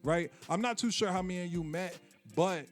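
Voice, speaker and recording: A man's voice: high voice, normal speed, faint music behind. A man hosting a casual radio interview, laid-back and slangy with lots of fillers. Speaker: radio show host.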